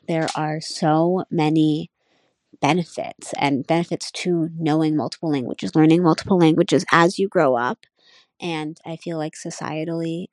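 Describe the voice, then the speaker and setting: Low-voiced thoughtful young woman student. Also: darkish tone, no echo. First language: Nepali. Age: young adult. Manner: thoughtful, searching, full of "um" and "like". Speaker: college student. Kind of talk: casual spoken class reflection.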